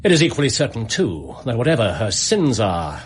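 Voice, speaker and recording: An actor in a British radio drama playing a shrewd, commanding Victorian detective, precise and dryly ironic, with a Received Pronunciation English accent. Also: male voice, mid-range voice, big pitch swings, a little fast, husky. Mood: grave, righteous.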